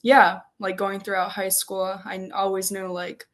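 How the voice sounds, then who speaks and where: A woman in her twenties, a native Polish speaker, answering interview questions as a student in a halting, conversational way with frequent filler "like". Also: mid-range voice, little pitch movement, fairly bright tone. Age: 20s. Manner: hesitant, peppered with "like". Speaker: interview guest, first-year medical student.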